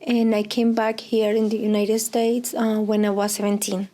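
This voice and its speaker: A woman's voice: medium pitch, little pitch movement, normal speed, mellow. A woman in her thirties from Colombia with a Colombian Spanish accent, speaking English as a second language in a casual interview, hesitant with many fillers and laughs. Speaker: interviewee, preschool Spanish teacher.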